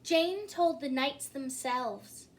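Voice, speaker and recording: A woman reading a children's picture book aloud as a story-time host, in an expressive manner. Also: sits high. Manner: expressive, pausing to ask listeners questions. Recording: clearly noisy recording.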